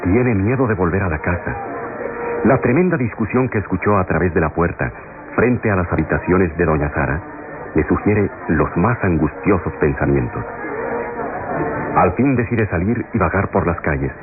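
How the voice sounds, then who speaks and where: A male narrator reads dramatic scripted radionovela prose in a very deep voice. The old recording is dull and narrow, and music plays loudly behind him. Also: animated, moderate pace. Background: loud dramatic music.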